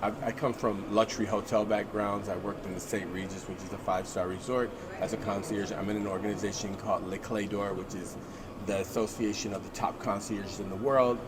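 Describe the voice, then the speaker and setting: A man speaking as a business panelist, telling his career story in a measured, reflective way. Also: on the low side, flat delivery, over a noisy crowd. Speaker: transportation executive, panelist. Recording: noisy venue, urban ambient noise. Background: ambient venue noise.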